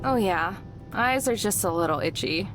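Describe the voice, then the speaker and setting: A man's voice: sits high, lively intonation, moderate pace, voice pushed up, rough recording. A man voicing a guarded, melancholy young woman from a visual novel, in a dismissive, understated way. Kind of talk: visual novel character reading. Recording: music behind the voice.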